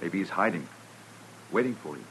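Proud, low-voiced gentleman adventurer. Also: animated, moderate pace, slight background noise, poor audio. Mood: tense, curious. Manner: formal, self-assured. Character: proud, overconfident gentleman newcomer eager for action. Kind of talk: 1950s radio western acting.

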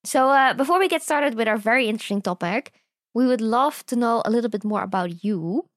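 A woman co-hosting a science podcast, reading the intro and asking the guest clear, welcoming questions. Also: a little high, fairly quick, dry recording. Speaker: podcast co-host. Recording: dry close recording, faint music.

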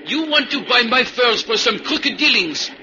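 High, bright trapper. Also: male voice, expressive, normal speed, heavily acted. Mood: stubborn, defiant. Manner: broken English, speaks of himself by name. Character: proud, stubborn male backwoods fur trapper Pierre. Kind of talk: old-time radio drama acting. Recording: muffled, hissy old radio recording.

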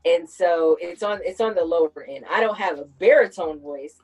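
Woman with a lowish voice, reflective. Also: moderate pace, music in the background, poor audio. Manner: reflective, explains at length. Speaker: podcast host. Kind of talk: casual conversational chat.

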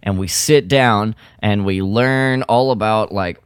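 A man chatting casually on a fan podcast, rambling through his thoughts in an animated way. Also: fairly low pitch, slow pace, smooth, dry recording, studio quality. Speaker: podcast panelist. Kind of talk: casual film-fan chat.

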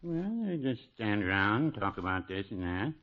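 An actor playing a mild, older small-town druggist, dry, folksy and understated, in a 1950s radio comedy. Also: male voice, fairly low pitch, sing-song, moderate pace. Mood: dryly amused. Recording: muffled, hissy old radio broadcast.

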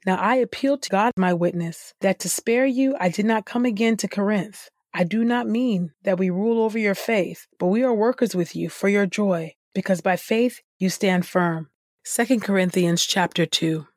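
A woman reading scripture and praying aloud in an earnest, reverent, deliberate way. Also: mid-range voice, darkish tone, dry recording, clean studio sound. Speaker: Bible reading podcast host. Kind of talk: scripture reading and spoken prayer.

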